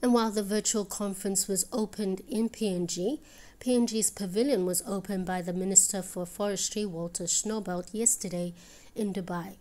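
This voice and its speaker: A woman news reporter reading scripted narration in a crisp, even delivery. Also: mid-range voice, normal speed, a little hiss or hum, rough recording.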